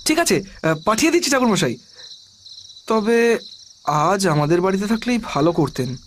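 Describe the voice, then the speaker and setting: High-voiced, eager village man. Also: moderate pace, a little hiss or hum. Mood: obliging. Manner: respectful, earnest, animated. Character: deferential, talkative village man, eager to help. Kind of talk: dramatised audio horror story acting.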